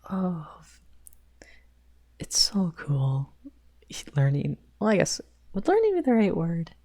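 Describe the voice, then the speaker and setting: A woman's voice: lowish voice, wildly swooping pitch, slow pace, dark tone, full character voice. A woman playing a shy young male best friend in an ASMR roleplay, rambling hesitantly with stammers and nervous giggles. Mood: shy excitement.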